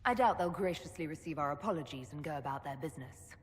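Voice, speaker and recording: A woman's voice: low-pitched, lively intonation, fast talker, breathy edge. An actress playing a bold, dry-witted female elven envoy in a game cutscene, composed and sardonic. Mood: matter-of-fact. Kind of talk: dramatic game cutscene acting. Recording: long hall reverb, music underneath.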